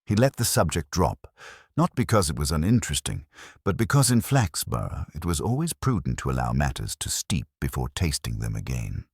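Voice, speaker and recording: Very deep-voiced male storyteller with expressive swings. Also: normal speed, breathy edge, no echo, clean studio sound. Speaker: narrator. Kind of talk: scripted audiobook narration of a gentle mystery story.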